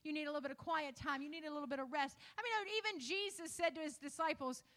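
A woman pastor preaching a sermon in a repetitive, rising cadence that builds toward shouts. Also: sits high, fast.

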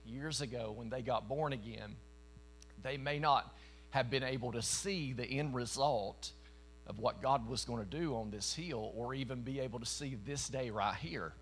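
Southern preacher from East Tennessee. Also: male voice, mid-range voice, a little hiss or hum. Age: 40s. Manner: folksy, emphatic, bursts of louder preaching. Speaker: traveling preacher. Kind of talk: sermon. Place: church meeting in front of a congregation.

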